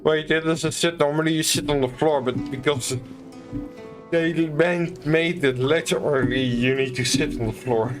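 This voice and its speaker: A man giving casual, rambling commentary as he streams a video game, in a halting way. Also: a little high. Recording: game music behind the voice.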